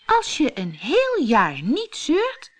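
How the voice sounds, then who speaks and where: A woman's voice: high-pitched, huge pitch swings, slow, pitched above the performer's own voice, exaggerated voice. A woman voicing a sensible, exasperated female hedge in a storybook, with an emphatic manner. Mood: sensible, coaxing. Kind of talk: fairy-tale storybook voice.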